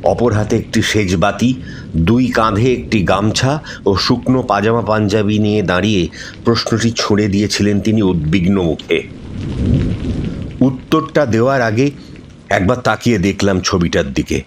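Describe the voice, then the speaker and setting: A man's voice: on the low side, moderate pace, a little hiss or hum. A man giving a dramatic scripted first-person narration of a ghost story.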